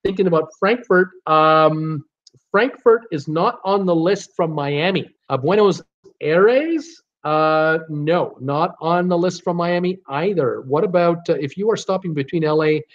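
A man's voice: on the high side, a little slow. A man in his sixties hosting a casual livestream quiz from a home office, reading out guesses and answering himself in short, clipped phrases. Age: 60s. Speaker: livestream host. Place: small home office, indoors. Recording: slightly dull webcam audio.

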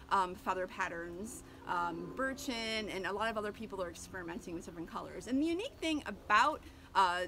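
A woman's voice: medium pitch, sharp tone, a little hiss or hum. A woman in her thirties, a homesteader, chatting casually about her chickens outdoors beside a coop, with many ums and restarts. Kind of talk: casual educational vlog. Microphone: camera at close range.

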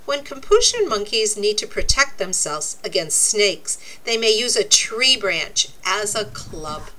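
A woman reading a children's nonfiction book aloud, with deliberate, expressive, teacherly phrasing. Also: mid-range voice, a little hiss or hum, rough recording. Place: indoors, book laid open before a camera.

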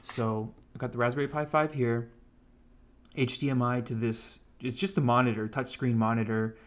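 A man's voice: mid-range voice, steady tone, normal speed. Casual American man demoing tech. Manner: laid-back, informal. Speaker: hobbyist presenter of an electronics project. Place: indoors at a home computer desk.

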